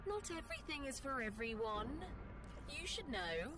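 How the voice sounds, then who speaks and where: A high, swooping female voice plays a condescending guide in a video game. Her delivery is theatrical and scripted, with prominent music behind her. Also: big pitch swings, moderate pace.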